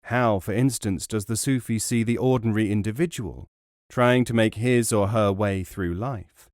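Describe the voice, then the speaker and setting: A man reading an essay as a scripted audiobook narration, in a measured, formal way. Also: lowish voice, a little mellow, no echo, studio quality.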